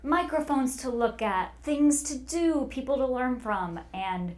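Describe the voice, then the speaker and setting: A woman speaks in a fairly high voice as a guest on a casual interview. She tells stories about herself playfully, leaning on key words for emphasis. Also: expressive, unhurried. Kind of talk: casual chat, personal storytelling.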